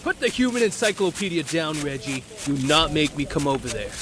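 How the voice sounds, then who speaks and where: A man's voice: a little high, sing-song, brisk. A man playing a bold, hot-headed teenage athlete in an audio drama, brash and emphatic. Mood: defiant.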